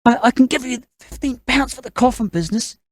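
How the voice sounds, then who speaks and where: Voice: mid-range voice, expressive, a little fast, no echo, studio quality, close to an everyday voice. An actor playing a flustered, easily tempted young husband in an audio drama, hesitant in manner. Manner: hesitant, muttering. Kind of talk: audio drama character acting.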